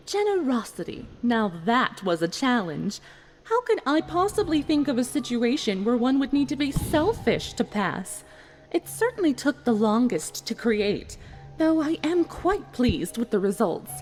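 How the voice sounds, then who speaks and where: A woman voicing a calm, smug, sadistic pony villain in a dramatic story reading, speaking in a deliberate, taunting, formal way. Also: fairly high pitch, soft background music. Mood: smug, gloating. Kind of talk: dramatic fan fiction reading.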